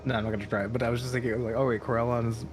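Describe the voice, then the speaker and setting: A man's voice: mid-range voice, little pitch movement, racing, music in the background. A man playing in a tabletop game, asking tentative questions in a casual way. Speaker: player.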